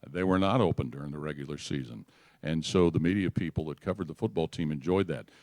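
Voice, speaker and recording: A man speaking as a sports broadcaster on a panel, explaining things in a measured, orderly way. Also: a bass voice, a little mellow, no echo. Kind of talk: unscripted explanatory panel talk.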